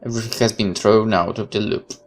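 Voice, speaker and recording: A man's voice: fairly low pitch, fairly even intonation, a little fast. A man reading a horror visual novel aloud as a let's player, with casual commentary of his own.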